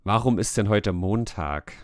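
Man, podcast co-host, teasing and jokey, mid pitch, dry close sound. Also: measured pace, a little mellow, no echo. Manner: joking, teasing, laughs often. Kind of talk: casual podcast banter.

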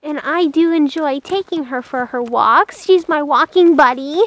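A woman's voice: sits high, unhurried, a bit bright, low-quality recording. A woman narrating a casual horse vlog in a chatty way, speaking fondly of her animals. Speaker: horse vlogger.

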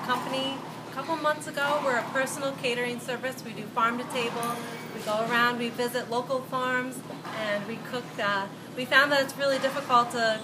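Young woman kinda high voice, talks thru her biz, lots of background hum. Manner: friendly, explanatory, some ums. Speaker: catering business owner. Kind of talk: casual interview. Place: bright indoor room with glass wall. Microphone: far camera. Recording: camera audio with loud background noise.

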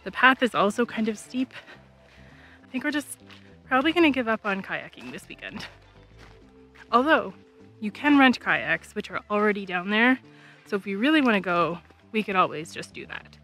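Female travel vlogger, thirties, casual narration with soft music underneath. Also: mid-range voice, lively intonation, moderate pace, pauses often.

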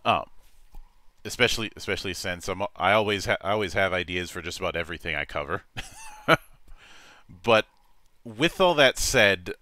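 A man's voice: low-pitched, bright, forceful tone, dry recording. A man hosting his online show, thanking his guest and signing off with theatrical catchphrases. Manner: theatrical, with rising emphasis on catchphrases. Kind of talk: casual show host sign-off.

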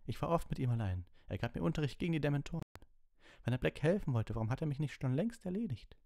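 A man's voice: fairly low pitch, animated, fast talker, warm tone, no echo, clean studio sound, voice pushed up. A man voicing an indignant, hot-headed teenage boy wizard in an audiobook.

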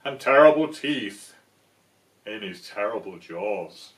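A man's voice: lowish voice, lively intonation, takes it slowly, recorded from a distance, pitched below the performer's own voice, rough recording. A man voicing a small, polite storybook mouse who boasts and teases with emphatic delivery. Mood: ominous boasting.

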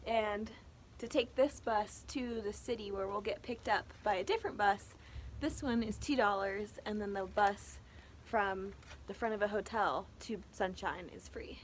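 Young woman vlogger, high voice, noisy recording. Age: young adult. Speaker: travel vlogger. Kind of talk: casual travel narration.

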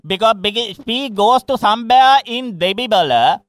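A man's voice: high voice, lively intonation, a bit bright, dry recording, studio quality. A man with a Sri Lankan accent voicing an anxious prank caller with a swollen face, slurred and stammering. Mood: anxious, pleading. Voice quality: slurred, tongue-tied speech. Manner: stammering, repetitive, halting.

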